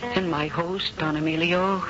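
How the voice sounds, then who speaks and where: An actress playing a curious, well-mannered woman guest in a 1970s radio drama, with an expressive, theatrical delivery. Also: lowish voice, unhurried, lightly acted. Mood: brooding narration. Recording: dull 1970s radio recording, loud music.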